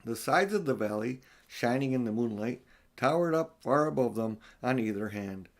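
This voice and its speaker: A man's voice: medium pitch, expressive, normal speed. Older man reading a story aloud. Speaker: storyteller reading an audiobook for his grandchildren. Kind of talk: homely read-aloud storytelling with informal asides.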